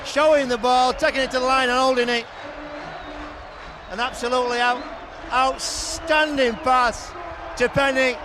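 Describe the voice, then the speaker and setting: A man breaking down rugby league plays as the co-commentator, with a very high voice. A loud crowd and clear hiss fill the archive broadcast around him. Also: fairly even intonation, a little slow, long pauses. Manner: explains plays in detail. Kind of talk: analytical rugby match commentary.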